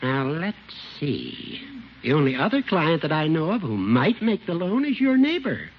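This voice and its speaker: An actor playing a teasing old judge with a needling, precise manner in a 1950s radio comedy. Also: male voice, on the high side, wildly swooping pitch, normal speed, slightly rough, full character voice. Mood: dryly amused. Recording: muffled, noisy vintage radio recording.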